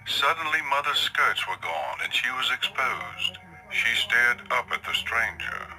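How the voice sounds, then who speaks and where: A man's voice: medium pitch, very animated, a little slow, over music, poor audio. A man narrating a scripted horror story in a dramatic storytelling style.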